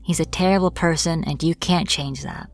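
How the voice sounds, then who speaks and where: A woman's voice: low-pitched, moderate pace, vocal fry, soft background music. A woman acting as a captured witch in a fantasy audio roleplay, irritable and defiant, speaking informally with sarcastic exasperation. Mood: dismissive, sarcastic. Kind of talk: dramatic fantasy audio roleplay, addressed to the listener.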